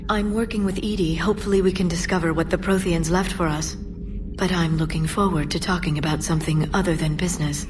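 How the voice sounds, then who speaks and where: An actress playing an earnest, composed young alien woman in a video game, measured and serious with gentle delivery. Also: lowish voice, steady tone, background noise, close to an everyday voice. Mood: relieved, gentle. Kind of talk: scripted game dialogue.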